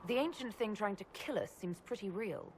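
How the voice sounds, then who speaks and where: A woman playing a composed, wry young elven leader in a video game, speaking in a measured way with a husky voice. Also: medium pitch, animated, a little hiss or hum, close to an everyday voice. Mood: wry, playful. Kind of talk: video game cutscene acting.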